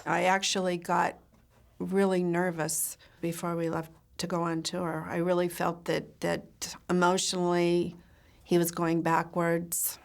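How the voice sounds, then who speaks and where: A woman giving a measured, reflective recollection in a documentary interview. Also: on the low side, completely flat delivery, gravelly.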